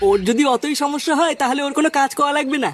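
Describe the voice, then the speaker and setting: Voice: high voice, quick pace, natural voice. A performer voicing a bossy, foul-mouthed young male gang leader in a Bengali cartoon comedy, giving orders in crude slang. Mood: brisk, decisive. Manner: commanding, crude slangy talk. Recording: clear background noise and ambience.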